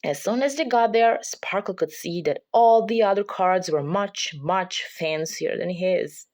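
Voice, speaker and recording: A woman's voice: on the low side, unhurried, darkish tone, a little hiss or hum. A woman reading a children's picture book aloud as a parent would, in scripted storybook narration.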